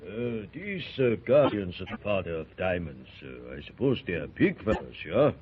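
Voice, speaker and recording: Brief: a gruff treasure hunter, low husky voice, tinny old radio sound. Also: male voice, very animated, moderate pace, full character voice. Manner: gruff, hesitant with uh. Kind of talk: 1930s radio drama acting.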